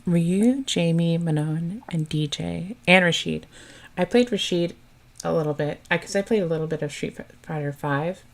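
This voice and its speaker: Woman, low voice, soft and chatty, casual stream commentary, laughs often. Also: moderate pace, gravelly.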